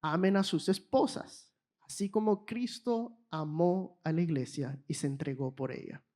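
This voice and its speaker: A lower-pitched woman delivers a sermon in a language that is not her native one. She speaks in short phrases and stops now and then to hunt for the right word. She gives each phrase time for an interpreter to follow. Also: mellow. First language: not Spanish. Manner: pauses and searches for words. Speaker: preacher.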